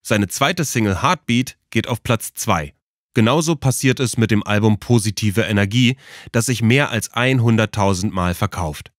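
A man's voice: on the low side, normal speed, no room sound, studio quality. A man reading scripted narration as the host of a documentary podcast.

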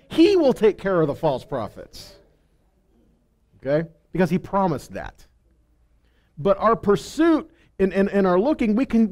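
Mellow, higher-voiced middle-aged male preacher. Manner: emphatic, rhetorical questions, stresses key words. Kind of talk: sermon, conversational teaching. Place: stage in a congregation hall. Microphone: headset.

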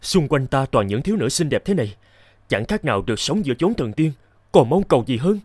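An actor playing a naive, smitten young scholar in an animated fairy tale, earnest and theatrical. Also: male voice, mid-range voice, fairly quick, no room sound, studio quality. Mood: lovestruck.

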